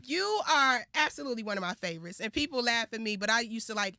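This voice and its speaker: American lady radio host, super bright voice, playful n gushy, chatty slang, dry sound. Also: on the high side, fast talker, no room sound. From Chicago area, United States. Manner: effusive, animated, playful, slangy. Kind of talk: casual, chatty celebrity interview.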